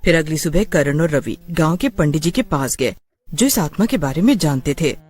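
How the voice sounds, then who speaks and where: A man's voice: a little high, expressive, moderate pace, breathy edge. A man narrating a scripted horror story in a dramatic storytelling style.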